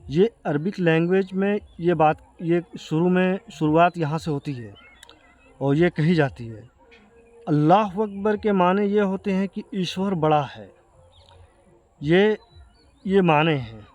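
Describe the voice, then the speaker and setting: A man with an Indian accent giving an earnest explanation to viewers, speaking haltingly and repeating himself. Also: sits high, lively intonation, a little mellow. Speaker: video creator addressing viewers. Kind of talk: explanatory talk to camera.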